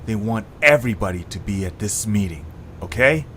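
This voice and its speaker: A man's voice: fairly low pitch, animated, brisk, a bit bright, slight background noise. A man voicing an eager young cartoon creature with an urgent, conspiratorial manner, in an audio story. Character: eager young male cartoon creature passing on secret plans.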